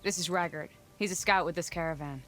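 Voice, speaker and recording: A woman's voice: on the low side, quick pace, a bit bright, a little hiss or hum, lightly acted. An actress playing a self-assured female warrior and loyal friend in a video game. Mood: concerned. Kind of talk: video game character acting.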